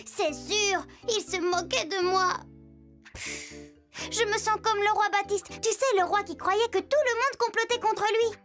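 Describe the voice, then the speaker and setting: A tiny, very high-pitched little girl telling stories in a cartoon. She is chatty, expressive and quick to get indignant, with music playing clearly behind her. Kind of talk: children's cartoon storytelling.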